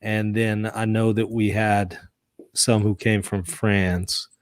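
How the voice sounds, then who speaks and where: A man from the southern US chatting casually as the host of a discussion stream, quick to laugh. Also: lowish voice, flat delivery, no echo, studio quality. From southern US, Mississippi and Louisiana area. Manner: laughs easily. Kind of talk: casual chat.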